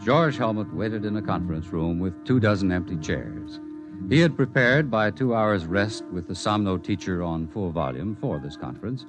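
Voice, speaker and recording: An actor playing the measured male narrator of a 1950s radio drama, reading scripted narration. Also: deep voice, very animated. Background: dramatic music.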